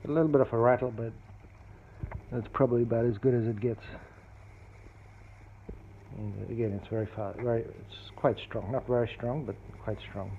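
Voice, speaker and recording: A man narrating a casual hands-on repair demonstration as a hobbyist, explaining things in a matter-of-fact, unhurried way. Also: medium pitch, steady tone. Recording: dull lo-fi phone-video sound, faint noise.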